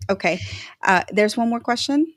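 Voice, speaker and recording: A woman's voice: on the high side, lively intonation, moderate pace, no echo, clean studio sound. A woman from El Salvador hosting a video call panel, chatty and jokey, with many fillers. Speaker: host. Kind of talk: casual panel chat.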